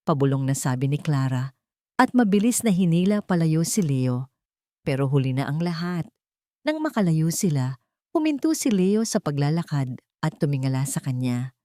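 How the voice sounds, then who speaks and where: A woman's voice: low-pitched, dark tone, no room sound, clean studio sound. A woman narrator reading a scripted romantic drama story with dramatic delivery.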